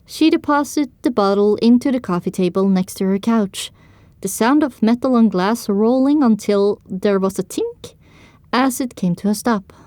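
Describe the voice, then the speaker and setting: A woman's voice: on the high side, normal speed, a little mellow, no echo. A woman narrating a scripted story in the first person as part of an audio drama.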